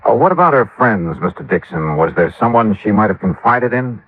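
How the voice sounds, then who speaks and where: An actor playing a courteous hard-boiled insurance investigator, speaking in a measured, polite way in an old radio detective drama. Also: male voice, lowish voice, animated, no room sound. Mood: probing, businesslike. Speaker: lead investigator and narrator. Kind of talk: 1950s radio detective acting. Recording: tinny vintage radio, band-limited.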